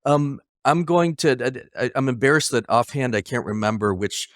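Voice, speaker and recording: A man's voice: medium pitch, expressive, moderate pace, no echo, clean studio sound. A man hosting a conversational interview, speaking hesitantly as he searches for his words. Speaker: interviewer, host.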